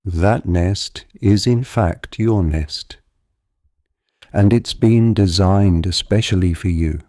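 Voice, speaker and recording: A man narrating a guided visualisation in a gentle, calm and deliberate, soothing hypnotic manner. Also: low voice, no echo, clean studio sound.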